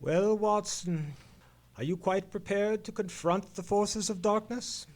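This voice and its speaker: Victorian detective, slightly high voice, confident, formal, precise, theatrical, radio mystery. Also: male voice, unhurried. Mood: keen, wry. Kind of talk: old-time radio mystery play, performed live.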